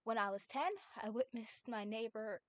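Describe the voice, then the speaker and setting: A woman streamer voicing a frightened, desperate teenage boy who is never believed, with pleading, rushed outbursts. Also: a little high, steady tone, close to an everyday voice. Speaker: streamer reading a fanfic aloud. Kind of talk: dramatic fanfic reading.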